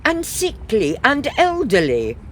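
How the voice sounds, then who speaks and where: A woman's voice: fairly high pitch, huge pitch swings, takes it slowly, full character voice. A woman playing a haughty, scornful middle-aged wife and mother in a comic period audio play, full of reproach. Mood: scandalised. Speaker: actor in an audio farce.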